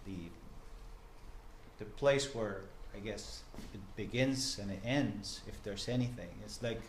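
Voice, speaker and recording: A man from Buenos Aires with an Argentine Spanish accent, a journalist and professor giving an informal talk to a group, halting and full of restarts, often checking in with the room. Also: fairly low pitch. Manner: halting, many fillers and restarts, asks the room questions. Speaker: guest speaker, journalist and professor. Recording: echoey room, faint noise.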